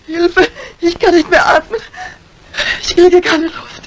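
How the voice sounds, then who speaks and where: An older woman acting a shrewd, composed crime writer in a 1950s radio mystery, speaking with deliberate, theatrical phrasing. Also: extremely high pitch, little pitch movement. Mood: gasping, panicked. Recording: vintage radio recording with music.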